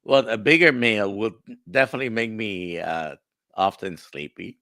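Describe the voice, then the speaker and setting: A man with a Spanish-sounding accent from a stroke, a doctor explaining in slow, halting phrases on a live stream. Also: on the low side, bright, forceful tone, no room sound, clean studio sound. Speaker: doctor guest. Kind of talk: casual live-stream chat.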